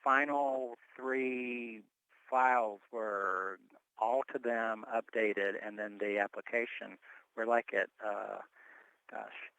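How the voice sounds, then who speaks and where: A man's voice: fairly low pitch, fairly even intonation, slow pace, bright, forceful tone, smooth, no echo, highs cut off. A man giving an informal, step-by-step report in a conversational way, speaking on a telephone conference call. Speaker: board member reporting on tax filing. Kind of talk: informal spoken report at a meeting.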